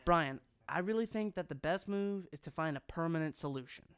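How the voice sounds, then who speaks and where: A man's voice: on the high side, fairly quick, clear, resonant tone, no echo, studio quality, natural voice. A man playing a scrawny, earnest survivor in an audio drama, pleading his case.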